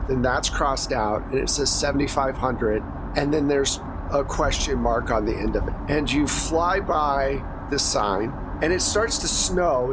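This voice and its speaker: A man running a tabletop horror game, narrating conversationally with wry asides. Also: mid-range voice. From Wisconsin. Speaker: game master.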